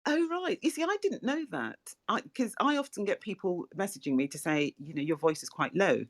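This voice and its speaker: A woman hosts an interview in an online audio room. Her voice is soft and fairly low, but it rises and falls a lot, and she comes across as eager about the talk ahead. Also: sing-song, fairly quick. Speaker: host. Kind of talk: hosting an audio-chat interview. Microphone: remote audio-chat connection.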